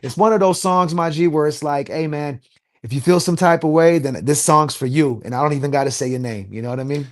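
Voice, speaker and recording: A man with a Los Angeles accent, a rapper guest talking casually in an interview, full of street slang and quick restarts. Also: a little high. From USA.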